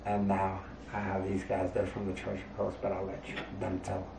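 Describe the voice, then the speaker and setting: A middle-aged man from Hawaii hosting a casual family Q&A, animated and jokey, with sudden loud bursts and quiet asides. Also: deep voice, normal speed, vocal fry. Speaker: family show host. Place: indoor bedroom, on a couch. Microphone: handheld mic. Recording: echoey room, mic at a distance, faint hiss.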